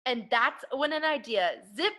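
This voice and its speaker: A woman reading a children's picture book aloud like an elementary school teacher, with expressive, emphatic narration aimed at young children. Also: sits high, measured pace. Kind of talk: animated children's storybook read-aloud.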